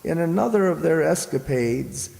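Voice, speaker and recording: A man announcing the credits of an amateur radio drama, in a measured, playfully formal way. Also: on the high side, expressive, vocal fry, a little mellow. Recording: echoey hall with background noise.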